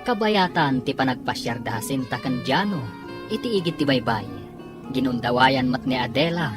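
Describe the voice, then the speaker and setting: A woman's voice: extremely low pitch, expressive, moderate pace. A woman narrating and announcing a radio drama in a dramatic scripted style. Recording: broadcast narration over loud music. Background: prominent music.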